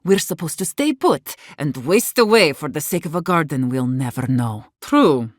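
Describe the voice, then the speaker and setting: A woman's voice: low-pitched, animated, moderate pace, no echo, studio quality. An actress voicing an embittered, defiant woman warden leader in a video game, weary but fierce, speaking in a blunt, earnest way. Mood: grim, resigned. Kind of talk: dramatic game dialogue.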